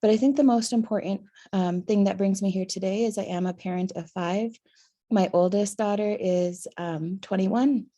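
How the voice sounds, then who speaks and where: Slightly hoarse woman with a mellow voice. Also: medium pitch. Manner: earnest, with pauses and "um" fillers. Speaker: presenter. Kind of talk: webinar presentation.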